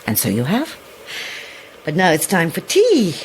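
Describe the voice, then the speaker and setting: An actress playing a sly, sweetly menacing ancient old woman in a horror audio drama, husky and gravelly, with slow, relishing delivery. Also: fairly low pitch, huge pitch swings. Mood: sweetly sinister. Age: older. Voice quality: husky, gravelly, sometimes hissing whisper. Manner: slow, relishing, theatrical, condescending endearments.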